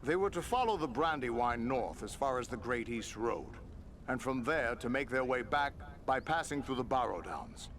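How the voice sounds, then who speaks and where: A man's voice: medium pitch, animated, a bit bright, a little hiss or hum, close to an everyday voice. Grave fantasy ranger captain, played by a man. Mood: calm concern. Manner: formal, measured, archaic phrasing. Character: grave, dutiful male ranger captain, concerned for his men. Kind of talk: fantasy video game acting.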